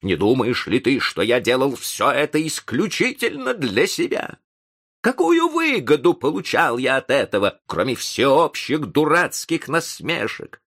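A man's voice: low-pitched, very animated, creaky, a bit bright, heavily acted. A man playing a kindly, wounded old professor of seventy in an audiobook story, plaintive and emotional, full of questions. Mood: indignant, hurt. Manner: halting, plaintive, rhetorical questions.

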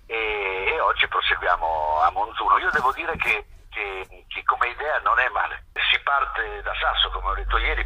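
Male phone-in interview guest, very sharp and raspy delivery, halting and repetitive. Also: on the low side. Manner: hesitant, repeats and restarts words while explaining. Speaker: festival curator and film producer, interview guest. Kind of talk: spontaneous phone interview on radio. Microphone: telephone. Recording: harsh, lo-fi telephone radio call.

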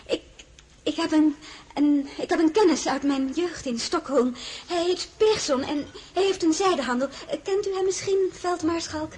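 An actress playing a modest but stubborn young crown princess in an audio drama, halting when flustered. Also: female voice, extremely high pitch, darkish tone, slight background noise. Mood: flustered, hesitant. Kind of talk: Dutch audio drama acting with narration.

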